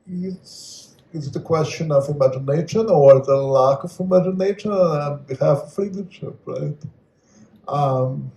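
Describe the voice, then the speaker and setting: A man answering on a live panel, musing aloud with rhetorical questions and animated asides. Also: on the high side, vocal fry. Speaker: panelist poet. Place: venue hall at a live reading. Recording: echoey hall.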